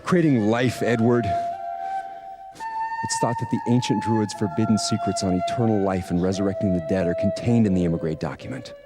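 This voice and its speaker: An actor playing a stern older priest on a secret mission, speaking in a grave, measured, guarded way in a video game. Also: male voice, fairly low pitch, huge pitch swings, over loud music.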